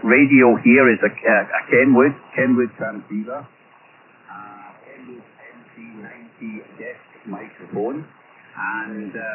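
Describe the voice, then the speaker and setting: A man making a ham radio contact, speaking haltingly with many ums and repetitions over a radio transmission. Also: lowish voice, phone-quality sound. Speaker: amateur radio operator. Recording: phone-thin radio signal with clear static noise.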